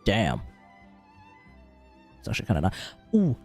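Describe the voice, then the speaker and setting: A man's voice: on the low side, huge pitch swings, a little slow, darkish tone, breathy edge, faint music behind. A man with an Australian accent chatting casually as a game livestream host, informal and sweary as he thinks aloud. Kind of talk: casual gaming livestream chat, advising on character builds.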